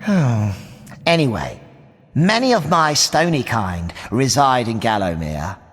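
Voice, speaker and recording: An actor playing a wry, mocking stone gargoyle in a video game, with a grandiose and dryly theatrical delivery. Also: male voice, medium pitch, big pitch swings, slow, fairly bright tone, breathy edge, big-room sound, exaggerated voice. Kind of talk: animated game narration and dialogue.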